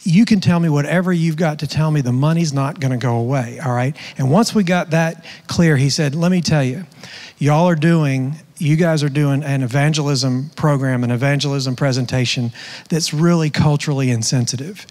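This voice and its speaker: A man's voice: fairly high pitch, normal speed, a little mellow. A middle-aged man, a mission pastor, speaking earnestly on a stage panel with many restarts. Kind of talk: panel discussion. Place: church stage. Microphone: handheld mic. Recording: long hall reverb.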